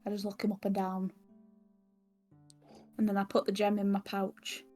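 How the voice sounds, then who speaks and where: A woman's voice: medium pitch, completely flat delivery, normal speed, a little mellow, soft background music. A woman playing a tabletop game, speaking guardedly in character and narrating her actions. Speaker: tabletop player.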